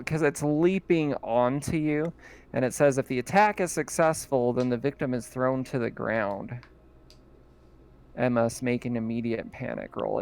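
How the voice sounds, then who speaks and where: A man running a tabletop roleplaying game as game master, explaining rules in a relaxed way with fillers. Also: mid-range voice, clear, resonant tone.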